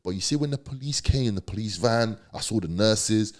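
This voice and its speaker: Male podcast guest, London accent, deep low voice, slangy and emphatic storytelling. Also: normal speed. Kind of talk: candid storytelling.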